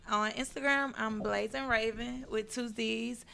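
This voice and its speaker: Woman, heavy vocal fry, slow and even, casual guest chat. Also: on the high side. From Atlanta, USA. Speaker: show guest.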